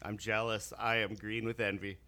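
A man's voice: fairly low pitch, flat delivery, moderate pace, pushed and bright. A man co-hosting a casual podcast, blunt and sardonic, ranting with dry humor, recovering from sinus surgery. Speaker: podcast co-host.

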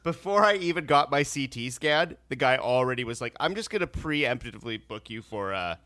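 Sardonic bright-voiced man. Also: medium pitch, expressive, a little fast. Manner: sardonic, blunt ranting. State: recovering from sinus surgery. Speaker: podcast co-host. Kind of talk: casual podcast chat.

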